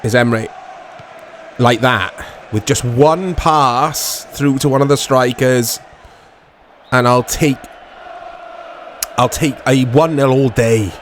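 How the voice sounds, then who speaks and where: Guy doing laid out slow football game commentary, bit hoarse, short muttered bits, slight background noise. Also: medium pitch, a faint crowd. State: voice strained, going hoarse. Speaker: gaming channel host.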